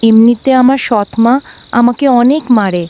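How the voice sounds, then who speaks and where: A woman's voice: normal speed, noisy background, natural voice. A woman voicing a small mistreated girl who sounds frightened and pleading in a cartoon. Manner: high, pleading and tearful. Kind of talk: cartoon voice acting.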